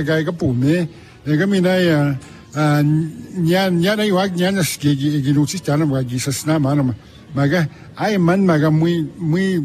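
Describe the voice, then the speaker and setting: A man whose first language is Cree, being interviewed as an author, speaking haltingly with many restarts. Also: fairly high pitch, darkish tone. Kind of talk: casual interview talk.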